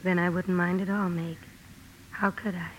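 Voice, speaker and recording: A woman's voice: on the low side, level tone, normal speed, a little hiss or hum, natural voice, poor audio. An actress playing a sincere, passionate young woman writer in a 1950s radio drama, earnest and emotional. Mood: calm, resigned. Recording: old radio broadcast with music.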